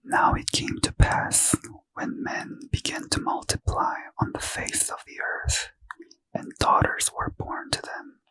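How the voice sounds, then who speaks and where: A man reading scripture aloud in a soft, unvoiced ASMR whisper as a bedtime story. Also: a little slow, rough recording.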